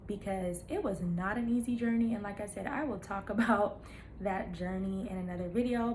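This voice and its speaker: A woman's voice: medium pitch, darkish tone, poor audio. A woman in her thirties talks straight to her viewers in an easy, chatty way, cheering them on with playful asides and laughs between thoughts. Her voice sits in a middle register. A faint hum from the room is behind her. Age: 30s. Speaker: nurse practitioner vlogger. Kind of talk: casual chat to camera.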